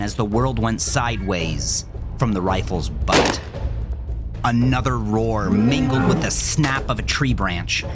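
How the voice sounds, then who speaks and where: A man reading a scripted first-person horror story in a measured, deliberate, dramatic way. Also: medium pitch. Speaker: narrator of a horror fiction podcast.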